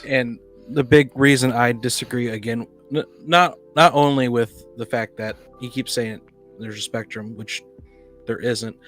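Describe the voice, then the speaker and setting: A man in a casual debate, disagreeing insistently with halting, restarted phrases. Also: mid-range voice, fairly even intonation, a bit bright, soft background music. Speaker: panelist in a tabletop gaming discussion.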